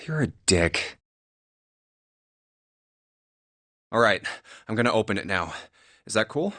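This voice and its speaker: A man's voice: fairly low pitch, lively intonation, moderate pace, bright, forceful tone, no echo. An actor voicing a sardonic, easily exasperated young man, the hero of a video game. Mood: sullen, exasperated. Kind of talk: English video game voice acting.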